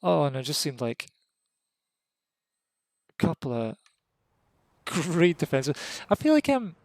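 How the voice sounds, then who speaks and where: A Scottish man giving live, casual, excitable commentary on a competitive flight game match, voice jumping up at the big moments. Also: fairly high pitch, slow pace, no room sound. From Scotland. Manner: excitable, rises sharply at kills; casual and jokey.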